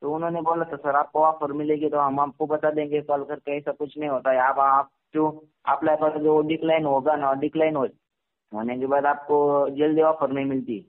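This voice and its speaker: A young man of about twenty speaks as a caller in a fairly high voice. He is halting and deferential, repeating words as he chats. His voice comes through a tinny, narrow telephone connection. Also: little pitch movement. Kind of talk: casual phone chat. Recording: tinny narrowband phone call recording.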